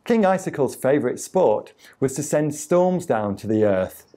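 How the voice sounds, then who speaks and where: A man reads a children's story aloud in a relaxed, mellow voice. He takes his time over each phrase and delivers the tale expressively, as for young listeners at storytime. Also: mid-range voice. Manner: deliberate, expressive reading with acted sound effects. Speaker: storyteller reading a picture book aloud to children.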